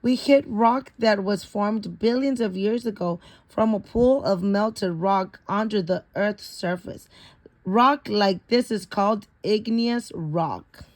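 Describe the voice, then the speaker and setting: A woman's voice: mid-range voice, slow pace, darkish tone, poor audio. A woman reading a children's science storybook aloud, stopping now and then to repeat or correct words. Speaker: reader of a children's picture book.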